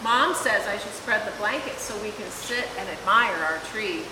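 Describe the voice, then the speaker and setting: A woman reading a children's picture book aloud in an expressive, deliberate storytelling manner. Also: mid-range voice. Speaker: volunteer story reader. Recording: echoey hall, distant mic, clear hiss.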